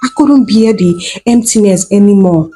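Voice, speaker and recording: A woman's voice: medium pitch, moderate pace, mellow, soft background music, natural voice. A woman voicing a desperate, pleading married village woman in a folktale. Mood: ashamed.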